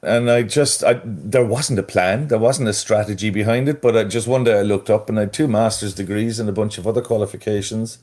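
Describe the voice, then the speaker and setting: A man's voice: fairly low pitch, steady tone, brisk, hardly any pauses, a little mellow. A middle-aged Irish man talking as a podcast guest, thoughtful and a little rambling. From Ireland. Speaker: guest, mental health strategist. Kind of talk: conversational interview.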